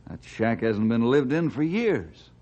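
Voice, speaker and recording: An actor playing a steady, friendly, folksy forest ranger in an old-time radio drama. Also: male voice, medium pitch, a little slow. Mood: easygoing. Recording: muffled, noisy old radio recording.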